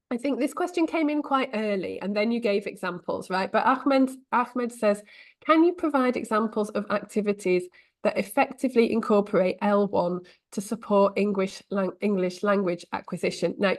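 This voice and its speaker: Woman host, upbeat and enthusiastic, reading audience questions on a video call. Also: on the high side. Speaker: webinar host. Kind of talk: hosting an online Q and A.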